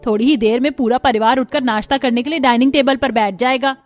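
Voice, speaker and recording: A woman voicing a bossy, demanding middle-aged mother-in-law in an animated family story, brisk and dismissive. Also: fairly high pitch, faint music behind, lightly acted.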